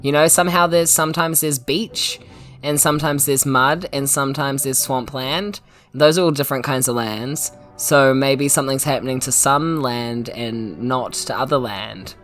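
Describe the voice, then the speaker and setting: A woman with a New Zealand accent playing a tabletop game, jokey and quick to laugh. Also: very deep voice, a bit bright, faint music behind. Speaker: player.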